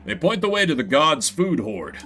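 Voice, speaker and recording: A man's voice: fairly high pitch, sing-song, normal speed, sharp tone, soft background music, exaggerated voice. A man voicing an eager, brash young mouse brother in video game dialogue. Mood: determined.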